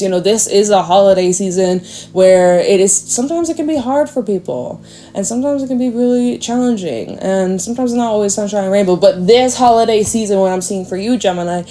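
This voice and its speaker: A woman with a congested nose giving a casual tarot reading, gently encouraging and asking her viewers question after question. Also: mid-range voice, a little hiss or hum. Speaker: tarot reader.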